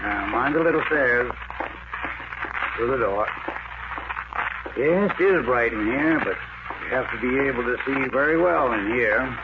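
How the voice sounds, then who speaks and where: An actor playing a matter-of-fact morgue attendant in a 1940s radio drama, explaining in a measured way. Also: male voice, medium pitch, expressive. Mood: matter-of-fact, solemn. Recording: scratchy, tinny old radio recording, heavy noise.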